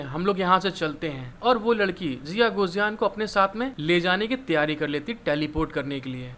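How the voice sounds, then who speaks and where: High-pitched man narrating a story. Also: a bit bright. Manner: addresses listeners as friends, acts out dialogue. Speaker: YouTube manhua explainer.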